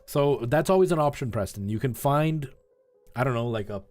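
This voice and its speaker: A man hosts a live game stream in an informal, conversational way. His voice sits at an average male pitch, and he rambles through tips and asides for his viewers. Music plays behind him. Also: lively intonation, moderate pace, darkish tone, no echo. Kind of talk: casual live stream chat while playing a retro game, giving tips to chat.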